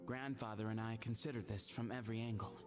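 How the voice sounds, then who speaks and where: An actor playing a courteous, dignified young heir to a desert temple in a video game, speaking articulately. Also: male voice, lowish voice, steady tone, quick pace, natural voice. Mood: grateful, solemn. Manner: articulate, polite storytelling. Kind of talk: scripted video game dialogue. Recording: loud game music behind. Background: game soundtrack music.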